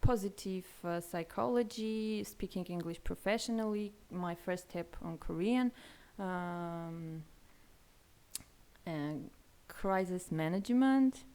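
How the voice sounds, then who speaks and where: A woman's voice: lowish voice, slow, a little mellow. Hesitant woman. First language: Armenian. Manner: hesitant, many fillers, laughs often. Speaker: guest. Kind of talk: casual interview.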